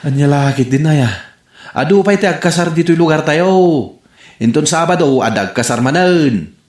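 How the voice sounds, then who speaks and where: A man's voice: fairly high pitch, expressive, normal speed, reverberant, natural voice. A man with an Ilocano accent acting a nagging, teasing son in a rural comedy radio drama. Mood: coaxing.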